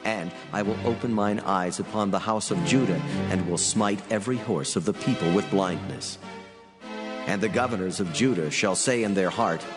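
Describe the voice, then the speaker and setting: Man as a deep, reverberating majestic God. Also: moderate pace, natural voice. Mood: majestic, ominous. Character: majestic, solemn male God of scripture, mighty and grave. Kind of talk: dramatized scripture reading. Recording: long cavernous reverb. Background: music.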